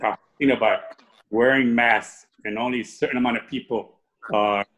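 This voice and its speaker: A man's voice: mid-range voice, fairly even intonation, normal speed, fairly bright tone, low-quality recording. A middle-aged man from Cuba, an artist answering interview questions in a casual, rambling way with many restarts.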